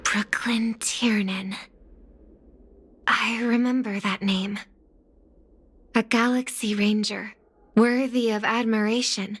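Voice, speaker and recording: A graceful young singer from a video game, speaks with slow, careful formality. She is composed, earnest and kind, her fairly high voice carrying in a long reverb. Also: steady tone. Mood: grave, reflective. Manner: measured, formal, deliberate. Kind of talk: video game character dialogue. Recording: long reverb, music behind.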